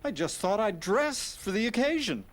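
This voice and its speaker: A man's voice: sits high, sing-song, normal speed. A man playing an eager, good-natured skeleton showman in a cartoon video game, speaking with grand dramatic expression. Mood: eager, earnest. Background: orchestral game music.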